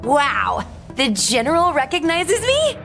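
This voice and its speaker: High, lively young street performer. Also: female voice, slow pace, vocal fry, over music, heavily acted. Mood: bright, sheepish. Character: cheerful, cheeky young female street performer. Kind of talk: video game character acting.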